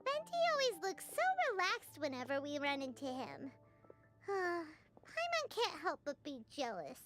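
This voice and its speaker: A woman voicing a tiny, childlike fairy companion in a video game, squeaky, chirpy and cheeky. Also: huge pitch swings, unhurried, full character voice. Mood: envious. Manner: chirpy, exclaiming, refers to itself in third person. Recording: music behind the voice.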